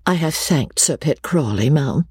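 A woman puts on a meek young governess from a storybook. Her voice is raised high and swoops dramatically as she pleads. She is polite and tearfully grateful, with a slightly husky tone. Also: animated, a little slow, darkish tone, no room sound, clean studio sound, exaggerated voice.

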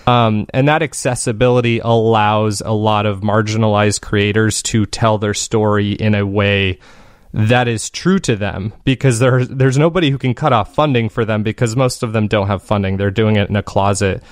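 Low-voiced man explaining his creative process. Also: steady tone, normal speed, smooth, no echo, studio quality. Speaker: podcast creator being interviewed.

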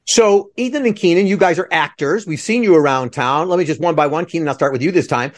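A man's voice: fairly high pitch, fast. A man hosting a conversational interview, chatty and digressive, with asides to listeners. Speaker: podcast host interviewing theater people.

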